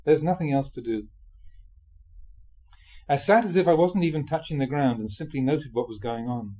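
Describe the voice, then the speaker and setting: A man reading a spiritual memoir aloud expressively, in a measured way with dramatic pauses. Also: medium pitch, dark tone, highs cut off. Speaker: reader of Buddhist teachings.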